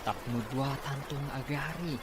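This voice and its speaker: A man's voice: mid-range voice, fairly even intonation, moderate pace, heavy background noise, natural voice. A man playing a sly, gloating villain in a radio drama, with theatrical delivery. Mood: scheming, gloating. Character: scheming, gloating male villain plotting against his boss.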